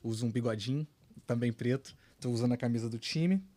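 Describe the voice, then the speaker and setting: A man, a guest on a tech panel, talking in a relaxed, chatty way with many fillers. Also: medium pitch, fairly quick. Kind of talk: casual panel discussion.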